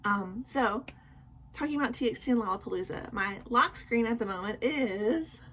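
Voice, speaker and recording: Young woman, sore-throated and sick, casual home vlog, apologetic, filler-heavy, slightly high voice, faint room ambience. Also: expressive, normal speed, a bit bright, slight background noise. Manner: apologetic, chatty, many "um"s and "like"s. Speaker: vlogger. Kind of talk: casual nightly vlog chat. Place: at home indoors at night.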